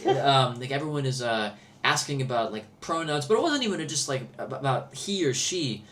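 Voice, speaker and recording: An American man chatting casually and telling personal anecdotes, halting and circling back over his words. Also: mid-range voice, expressive, a bit bright. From Milwaukee, United States. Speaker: podcast host. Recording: noticeable background noise.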